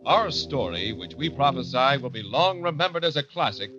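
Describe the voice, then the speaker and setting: An actor playing a grave radio announcer, presenting a mystery show in a measured, grandiose 1940s style. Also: male voice, medium pitch, lively intonation, close to an everyday voice. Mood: dramatic. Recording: dull vintage radio recording, loud music behind. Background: dramatic music.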